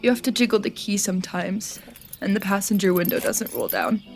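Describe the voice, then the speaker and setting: An actress playing a hurt, loving young woman in an audio drama, plaintive and halting. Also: mid-range voice, sing-song, gravelly, faint music behind. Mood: subdued. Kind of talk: naturalistic audio drama acting.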